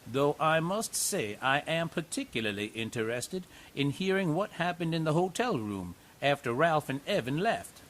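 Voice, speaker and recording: A man playing a folksy, gently joking investigator who reassures a young witness. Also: fairly high pitch, big pitch swings, normal speed, hardly any pauses, a little hiss or hum. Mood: reassuring.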